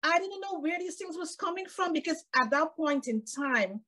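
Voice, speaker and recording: A woman's voice: very high-pitched, lively intonation, normal speed, pushed and bright. A middle-aged woman from Guyana with a Guyanese accent, a jovial podcast guest chatting on a video call from a room at home. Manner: jovial, laughs often. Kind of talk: casual interview chat.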